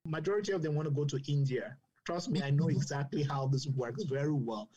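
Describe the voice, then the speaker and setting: A man from Cameroon, a doctor speaking as a guest in a panel discussion, passionate and measured, often repeating phrases for emphasis. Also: a little high, dark tone, slightly rough, highs cut off.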